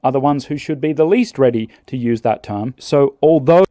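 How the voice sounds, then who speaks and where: A man calmly narrating scripted language-learning advice in a measured, earnest way. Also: mid-range voice, dry recording, clean studio sound.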